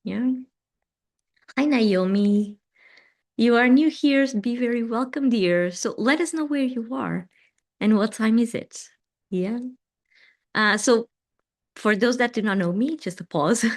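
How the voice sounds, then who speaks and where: Slow, gentle Portuguese-accented woman. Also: on the high side. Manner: gentle, unhurried, with many fillers and restarts. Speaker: meditation host and teacher. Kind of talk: live-streamed spiritual talk greeting viewers.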